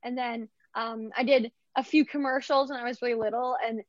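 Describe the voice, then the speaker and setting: A bright, higher-pitched woman from Vancouver talks about her acting work in a chatty, upbeat way, full of "like" and quick restarts. Her voice comes across dry and a little dull, as if on a lo-fi recording. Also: fairly even intonation, dry recording. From Canada, Vancouver. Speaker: actress being interviewed. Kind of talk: casual interview answers.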